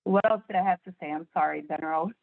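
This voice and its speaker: A woman's voice: deep voice, steady tone, fast, gravelly, no echo. A woman in her forties from Chicago, with Spanish as her first language, talking about herself on an online panel in a chatty way and laughing easily. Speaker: interpreter, panelist. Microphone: video call. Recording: tinny phone-like call audio.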